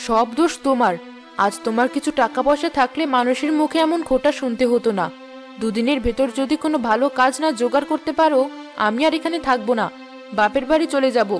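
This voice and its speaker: A woman's voice: high voice, quick pace, soft background music, lightly acted. A woman voicing a poor, proud village wife in a cartoon, with a nagging, sarcastic and resentful manner. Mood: aggrieved. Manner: complaining, sarcastic. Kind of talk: cartoon character dialogue.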